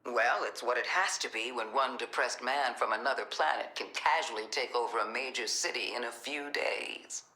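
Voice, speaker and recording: An actress playing a commanding middle-aged government director in a video game, measured and coolly manipulative. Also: female voice, rumbling low, pushed and bright, reverberant. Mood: firm, controlled. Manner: measured, authoritative.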